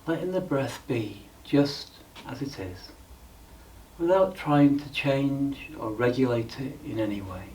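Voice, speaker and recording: Male meditation guide, very slow pace, calm and measured, frequent pauses, mid-pitched, set back from the mic. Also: distant mic, rough recording.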